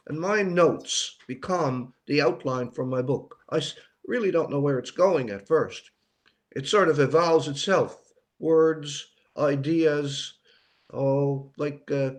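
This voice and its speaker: An older man from Newfoundland, a writer being interviewed, speaking reflectively with pauses and repeated words for emphasis. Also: a little high. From Newfoundland, Canada.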